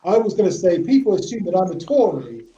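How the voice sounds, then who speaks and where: A man's voice: sits high, big pitch swings, fast talker, warm tone, far from the mic, dull audio. Posh-sounding southern English man, echoey room. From south-east England. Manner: hesitant, self-deprecating. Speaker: guest. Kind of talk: casual chat.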